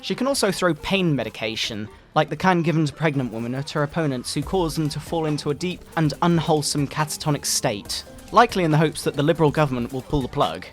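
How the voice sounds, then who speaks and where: A pompous fundamentalist doctor, acted by a man as satire, sermonizes with theatrical indignation. The voice sits slightly high and swings widely in pitch, with retro video game music playing softly behind it. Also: lively intonation, fast talker, hardly any pauses, close to an everyday voice. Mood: righteous scorn. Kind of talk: dramatic satirical scripted reading.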